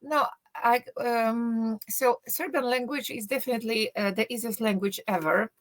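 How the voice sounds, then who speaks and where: A middle-aged woman, a university teacher speaking English as an in a relaxed interview, thinking aloud with many fillers and restarts and a joking tone. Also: on the high side, normal speed. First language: Polish. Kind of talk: casual conversational English, non-native.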